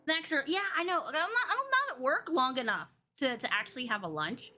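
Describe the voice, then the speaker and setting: A bubbly woman hosts a casual streamed podcast. Her voice is big and boomy, and her pitch swings all over the place. Faint music plays underneath. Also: big pitch swings, fairly quick, sharp tone. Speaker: podcast host. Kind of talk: casual live-stream chat. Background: faint background music.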